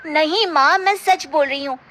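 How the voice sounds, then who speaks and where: A kind young village girl played by a woman, very high in pitch. She speaks earnestly and helpfully, in the manner of a cartoon heroine. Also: normal speed, sharp tone, soft background music.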